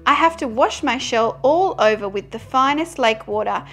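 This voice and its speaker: Woman putting on a whiny, melodramatic storybook tortoise, high-pitched. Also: animated, moderate pace, fairly bright tone, soft background music. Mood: boastful. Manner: talkative, wheedling, dramatic. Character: vain, chatty, melodramatic male tortoise, pleading and whiny.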